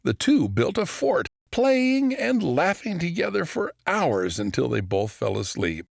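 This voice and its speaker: A man narrating a children's storybook in an expressive, scripted read. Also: on the high side, unhurried, vocal fry, dry recording. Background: background music.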